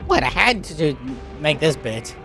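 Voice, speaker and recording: A game streamer comments casually while playing. The delivery is halting and half to themselves, with lively pitch swings. Music is clearly heard behind the voice.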